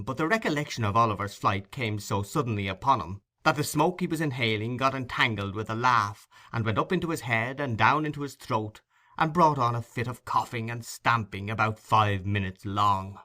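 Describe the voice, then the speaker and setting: A man reading a classic novel as an expressive audiobook narrator. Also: medium pitch, moderate pace. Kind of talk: expressive scripted literary narration.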